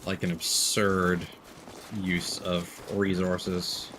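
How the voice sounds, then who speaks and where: A man with a low voice commentates casually on his gameplay as a streamer. He thinks out loud and swears freely. There is clear noise behind him. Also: completely flat delivery, drawn-out words. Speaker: game streamer.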